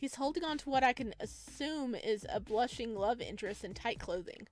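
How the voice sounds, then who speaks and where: A woman with a fairly high voice hosts a let's play, reading narration aloud. She breaks into expressive, casual commentary as she goes. Soft music plays behind her. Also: normal speed. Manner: expressive, chatty asides.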